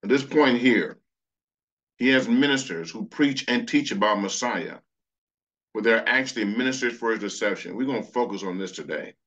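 A man's voice: on the low side, moderate pace, fairly bright tone, dull audio. A man preaching a sermon over slides, earnest, reading scripture passages aloud. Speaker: pastor.

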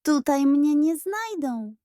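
A woman acts out a cowardly little koala from a bedtime storybook. The voice is very high and shaky, full of panicky squeaks and moans. He is clumsy and easily frightened, heard up close in a dry recording. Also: sing-song, slow pace, warm tone, dry recording, full character voice. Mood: frightened whimpering.

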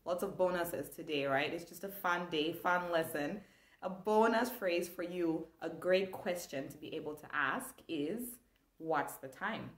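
A woman's voice: on the low side, measured pace, reverberant. A young woman from Zambia, teaching an online language lesson with enthusiasm, gesturing as she talks. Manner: animated, gestures a lot, enthusiastic. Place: small room indoors.

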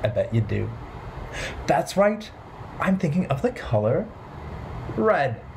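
A man in his thirties hosting a children's storytime, playful and expressive, speaking simply in a rhythmic sing-song way. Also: on the high side, slow, creaky, a little hiss or hum. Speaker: children's storytime host at a library. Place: bright living room at home.

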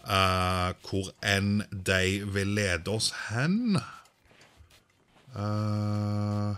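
A man's voice: on the low side, fairly bright tone, clear, resonant tone. A man doing casual let's-play commentary, thinking aloud and sprinkling in English exclamations. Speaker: gaming video host.

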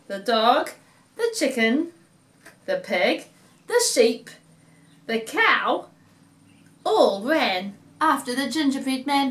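Bright, high-voiced New woman storyteller. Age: middle-aged. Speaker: children's storyteller. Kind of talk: animated children's storytime narration. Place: living room, seated in an armchair.

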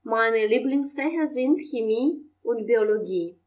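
Woman, language teacher, slow careful delivery, slightly high voice. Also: lively intonation, darkish tone, muffled recording. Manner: reads German questions and answers slowly and clearly, explains in Russian. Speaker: German teacher. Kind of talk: language lesson, slow model dialogue.

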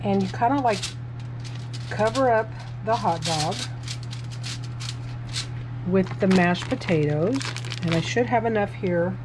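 A woman's voice: mid-range voice, expressive, slow, pauses often, noisy background. A woman casually talking through a recipe step by step as she cooks in her home kitchen, filming on a handheld phone. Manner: chatty, self-narrating each step. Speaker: home cook vlogger. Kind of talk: casual cooking demonstration.

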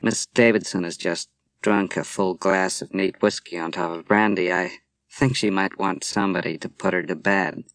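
A man voicing a calm middle-aged naval commander, measured and patient as he explains. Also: deep voice, dry recording. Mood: polite.

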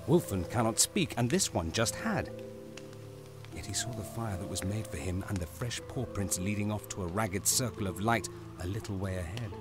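A man narrating a scripted audio drama in a grave, deliberate, dramatic way. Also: fairly low pitch, expressive, over music.

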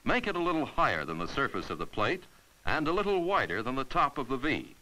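1950s man narrating a lively instructional film. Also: mid-range voice, brisk, low-quality recording. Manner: clear, patient, step-by-step instruction. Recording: 1950s educational film soundtrack.

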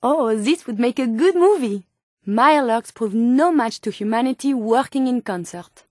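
A woman's voice: a little high, animated, normal speed, dry recording, clean studio sound. A woman voicing an eager, idealistic robot scientist in a video game, speaking precise, formal lines with a French accent. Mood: eager wonder. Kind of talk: scripted video game companion dialogue.

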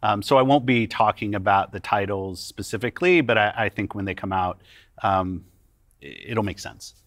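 A middle-aged man, a gaming company executive, answering podcast interview questions in a calm, deliberate way. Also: fairly low pitch, dry recording, studio quality. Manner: calm and deliberate, careful with his words. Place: studio set.

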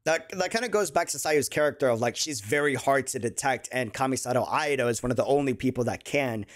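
A young adult man giving excited, slangy, effusive casual reaction commentary on a video game at his desk. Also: medium pitch, quick pace. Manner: effusive, slangy, uses bro and dude. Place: small indoor room at a desk. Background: game trailer music.

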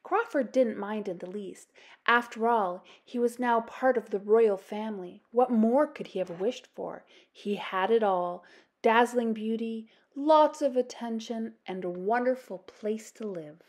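A woman with a Canadian accent reading a children's storybook aloud as an expressive storyteller. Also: on the high side, measured pace. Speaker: storytime host, reader. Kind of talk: children's storybook read-aloud, scripted narration.

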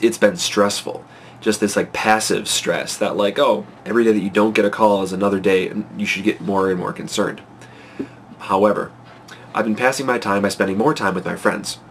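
A congested young man in his twenties talks straight into a close webcam from his bedroom at night, fretful and excitable, rambling with lots of fillers. His voice sits fairly low, with a faint hiss of room noise behind him. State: congested from a cold. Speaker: vlogger. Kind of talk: casual to-camera vlog. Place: small bedroom at night, indoors. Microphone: webcam at close range.

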